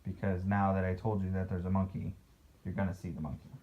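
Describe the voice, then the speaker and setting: A man's voice: sits low, level tone, quick pace, lo-fi sound. A man reading a picture book aloud as a father to a child, playful, with casual asides and questions.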